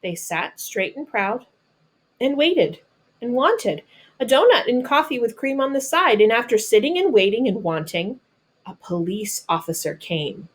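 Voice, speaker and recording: A woman with an American accent reads a picture book aloud like a teacher, pausing to explain things simply and patiently to children. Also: fairly high pitch, very animated, breathy edge. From North Carolina, United States.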